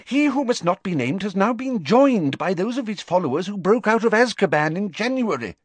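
A man reading aloud and voicing an anxious, flustered middle-aged wizard minister who talks in a high, hurried, defensive way. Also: big pitch swings, no echo.

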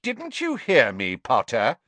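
A man voicing a sneering, spiteful male teacher of magic in an audiobook, with a contemptuous, drawn-out delivery. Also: medium pitch, wildly swooping pitch, fairly bright tone, no room sound, voice pushed up, exaggerated voice. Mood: gloating.

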